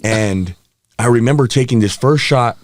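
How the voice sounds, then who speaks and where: A man around thirty who grew up in Hawaii, a podcast guest telling stories from his life in a relaxed way, laughing often and getting animated as the story builds. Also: mid-range voice, moderate pace, a little hiss or hum. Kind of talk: candid storytelling interview. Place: podcast studio. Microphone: studio mic.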